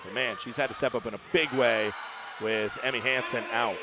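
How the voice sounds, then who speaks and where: A man's voice: mid-range voice, steady tone, fairly quick. Man calling volleyball play-by-play. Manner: rises to shouts on big plays. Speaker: play-by-play commentator. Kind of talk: live volleyball play-by-play commentary.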